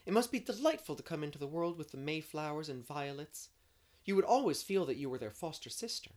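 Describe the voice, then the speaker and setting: A dreamy young storybook heroine, voiced by a woman narrator. She talks effusively and poetically, with a very animated melody. Her phrases are emphatic and full of romantic eagerness. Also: sits low, a little fast, voice pushed up. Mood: dreamy, eager. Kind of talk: audiobook character reading.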